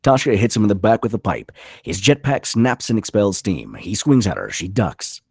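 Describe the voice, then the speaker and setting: Male narrator for a screenplay read: low husky voice, very dynamic pitch, punchy clipped delivery. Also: sing-song, moderate pace, no echo, clean studio sound. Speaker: narrator reading stage directions. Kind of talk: dramatic scripted narration.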